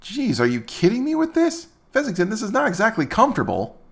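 A man's voice: sits high, wildly swooping pitch, fairly quick, creaky, a bit bright. A man hosting a comedic commentary video, sarcastic and exasperated as he rants. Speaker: host.

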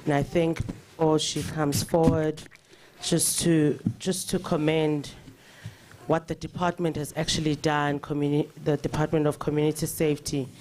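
A man directing a funeral programme in a brisk, formal way under an open thatched shelter. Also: on the high side, flat delivery, darkish tone, slight background noise. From South Africa. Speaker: master of ceremonies.

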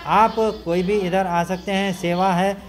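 A middle-aged man making an announcement to camera, halting and repeating words. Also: high-pitched, steady tone. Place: indoor clinic in front of banner.

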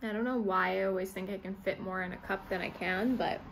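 A woman's voice: mid-range voice, fairly even intonation, fairly quick. A young woman talks in a bubbly, chatty way, her voice stuffy and nasal from a cold. She fills her sentences with "like" and sits at arm's length from a phone camera in her kitchen. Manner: chatty, bubbly, says "like" a lot. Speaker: vlogger. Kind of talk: casual voice-over narration. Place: home kitchen, indoors.

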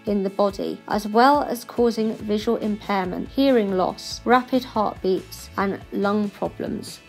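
A woman's voice: medium pitch, a little slow, darkish tone, soft background music, low-quality recording. A woman in her twenties from England, speaking steadily and plainly. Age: 20s. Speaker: interviewee.

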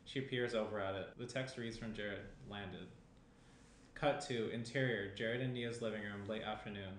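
A young man reads out screenplay action as the narrator. His voice is fairly low. The recording has audible room reflections and clear background noise. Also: fairly even intonation, normal speed, a bit bright. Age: young adult. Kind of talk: reading screenplay stage directions. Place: small room at a table. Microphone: shared tabletop microphone. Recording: roomy indoor sound, clear background noise.

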